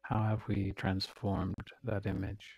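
A man leading a guided meditation as a teacher, speaking calmly in short, measured phrases with long pauses. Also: lowish voice, creaky, husky, no room sound, low-quality recording.

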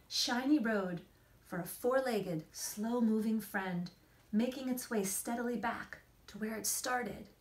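Expressive woman reading aloud, higher voice. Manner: expressive, emphatic storytelling with dramatic pauses. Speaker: children's author reading aloud. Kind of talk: expressive picture-book read-aloud and explanation to children. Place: small indoor room against a plain wall.

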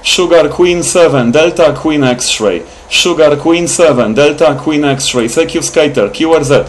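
Man, amateur radio operator, fairly high pitch, clipped repetitive call-sign delivery, light radio hiss in background. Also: measured pace. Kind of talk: radio contact calls with phonetic call signs. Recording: noisy room with radio static.

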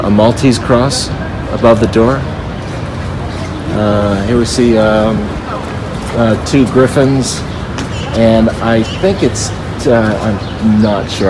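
A man in his forties giving a casual walking tour to camera outdoors, explaining informally and haltingly as he points things out. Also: fairly low pitch. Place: outdoors in a paved city square. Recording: noisy outdoor city ambience with traffic.

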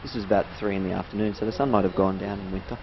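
A dull, lo-fi recording with loud noise carries an Australian man's low voice. He narrates a trip casually, chatting and explaining as he goes in an informal, unscripted way. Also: steady tone, rapid-fire. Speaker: travel video narrator.

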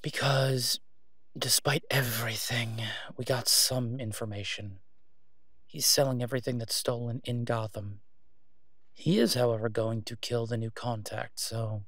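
A man acts out a brusque, sarcastic vigilante antihero for an audio drama. His mid-pitched delivery is weary and clipped, turning emphatic when irritated. The sound is dry and close, with no room around it. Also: fairly even intonation, measured pace, a bit bright, no echo. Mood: resigned, firm. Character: exasperated sardonic male vigilante antihero, brusque but protective. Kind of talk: solo audio roleplay acting, conversational.

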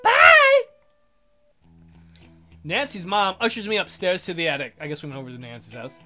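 A woman narrates a visual novel as a let's play host, with music playing behind her. Her delivery is lively and wry, slipping into irreverent asides. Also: low voice, quick pace.

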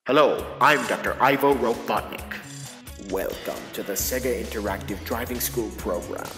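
Villainous male mad scientist, played by a man: pompous, unhurried, heavy hall reverb over music, horror parody. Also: mid-range voice, a bit bright, natural voice. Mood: sly, pompous. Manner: pompous, deliberate, theatrical. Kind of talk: scripted instructional parody in analog horror.

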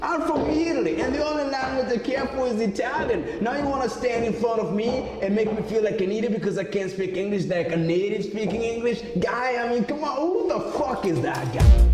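A man's voice: sits high, normal speed, heavy reverb, long reverb tail, music in the background. A young man ranting to the camera in a small room, angry and emphatic, swearing often, into an earphone mic. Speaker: vlogger. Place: small indoor room with maps on wall.

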